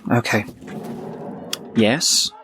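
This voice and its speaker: A man's voice: medium pitch, very slow, bright, forceful tone, slight background noise, pitched below the performer's own voice. A man playing a vain, sassy would-be dandy in a tabletop game, huffy and flippant, as if put upon. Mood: huffy, defensive.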